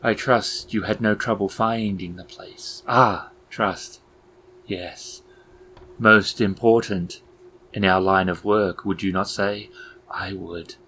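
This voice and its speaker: Man playing a courteous, eerie low-voiced clown undertaker. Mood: eerily courteous. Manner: formal, archaic, deliberate, quoting scripture. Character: courteous, eerie clown-faced Keeper of the Dead. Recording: clear steady background ambience noise.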